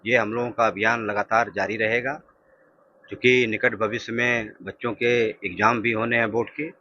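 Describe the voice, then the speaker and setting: Bright, forceful low-voiced policeman. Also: level tone, normal speed. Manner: formal, explanatory. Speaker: police officer. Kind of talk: official statement to reporters. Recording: dull news interview audio, faint noise.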